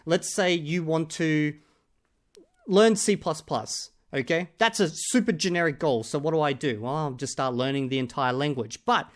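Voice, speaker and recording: A man's voice: on the high side, normal speed. Emphatic man rebutting in casual commentary. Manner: emphatic, often tags points with "okay?". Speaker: YouTuber reacting to another video.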